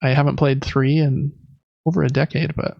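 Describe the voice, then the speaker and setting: A man's voice: mid-range voice, flat delivery, normal speed, creaky, no room sound, highs cut off. A man hosting a podcast, chatting informally and conversationally about games, close to the microphone. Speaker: podcast host. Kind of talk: casual gaming podcast chat.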